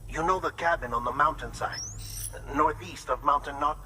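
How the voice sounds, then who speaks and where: A man playing an earnest, uneasy researcher with a halting manner in a video game cutscene. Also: a little high, bright, forceful tone, a little hiss or hum. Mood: worried. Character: earnest, uneasy male researcher, hesitant confider.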